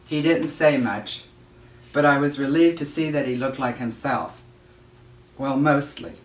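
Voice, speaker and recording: A woman giving an expressive read-aloud of a children's novel, narrating as a storyteller. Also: a bass voice, a little slow. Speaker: audiobook-style reader. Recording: roomy echo, distant microphone.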